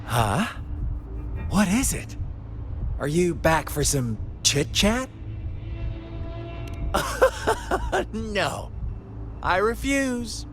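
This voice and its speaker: A man's voice: sits high, wildly swooping pitch, very slow, long pauses, a bit bright, full character voice. An actor voicing a sly, mocking young male villain in a game cutscene, speaking in a theatrical, teasing, fable-telling manner. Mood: taunting. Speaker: game antagonist. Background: game soundtrack music.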